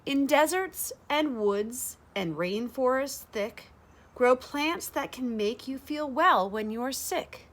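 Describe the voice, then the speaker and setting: Slow, lively woman reading to children. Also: high voice. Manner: deliberate, expressive phrasing for children. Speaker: educator reading a picture book aloud. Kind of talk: children's read-aloud of rhyming verse. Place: bright sunroom with large windows, indoors.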